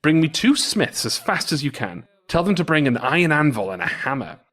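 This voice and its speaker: A man voicing a cunning, cocky soldier in a folktale, with a brisk, boastful, commanding manner. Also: a little high, very animated, a bit bright, voice pushed up.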